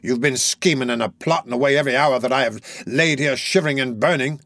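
A man voicing a gruff, brutal adult male burglar in a storybook reading, growling and surly. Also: medium pitch, quick pace, a bit bright, dry recording, studio quality, lightly acted.

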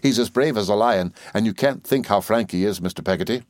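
A man's voice: lowish voice, a little fast, a little mellow, no echo, close to an everyday voice. An earnest young schoolboy read by a grown man speaks politely. He is eager and effusive in his admiration, with a dry, close storybook sound.

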